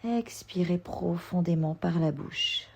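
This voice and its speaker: A woman yoga instructor leading a guided relaxation meditation, giving gentle, soothing instructions. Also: lowish voice, takes it slowly, vocal fry, slightly rough.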